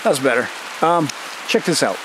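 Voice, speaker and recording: A man in his thirties hosting a vlog outdoors in a rainy forest, talking casually and reacting with excitement as he films himself up close. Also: fairly high pitch, moderate pace, background noise. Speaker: channel host. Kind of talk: casual vlog chat and voice-over. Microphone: handheld camera close to the face.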